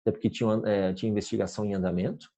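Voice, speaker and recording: A man gives a recorded law lecture. His voice sits a little low, mellow and slightly husky. He explains carefully, repeats words as he restarts, and often checks that listeners are following. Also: little pitch movement, very fast. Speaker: law professor.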